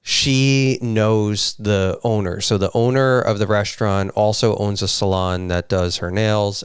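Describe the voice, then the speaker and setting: A man's voice: low voice, clear, resonant tone, no room sound, studio quality. A man co-hosting a casual live stream, telling rambling stories. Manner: rambling storyteller. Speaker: co-host.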